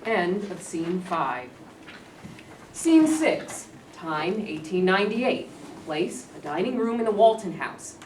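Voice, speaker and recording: A woman's voice: lowish voice, big pitch swings, slow, darkish tone. A woman reading stage directions as scripted narration at a staged play reading. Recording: distant echoey room, faint noise.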